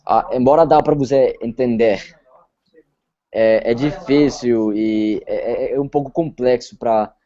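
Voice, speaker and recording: A man from Colombia speaks Portuguese with a Spanish accent, answering questions in a relaxed interview. His voice is mellow and dark, and he pauses and repeats words while he hunts for the right phrase. The recording sounds a little dull. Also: mid-range voice. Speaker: interview guest. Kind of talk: casual interview chat.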